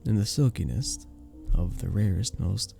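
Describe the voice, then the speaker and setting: A man playing a charming, flirtatious vampire bartender in an ASMR roleplay, speaking to the listener with teasing, unhurried pauses. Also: on the low side, lively intonation, vocal fry, warm tone, soft background music, full character voice. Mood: suave, playful.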